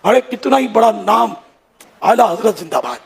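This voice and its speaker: A man's voice: high voice, expressive, moderate pace, gravelly, slightly rough. An older man, a religious leader, giving an informal sermon of advice to his followers.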